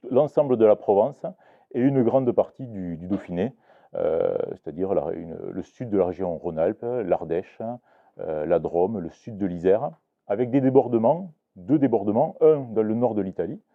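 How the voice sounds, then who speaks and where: A man's voice: on the low side, narrow, tinny audio. A man teaching about a regional language to a live audience, talking with many restarts and hesitations. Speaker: Occitan teacher giving a talk. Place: book fair talk.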